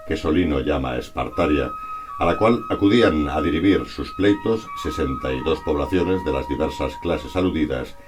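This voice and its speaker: An extremely deep male voice from delivers scripted narration. His reading is formal and measured, like a documentary text read aloud, with music playing behind him.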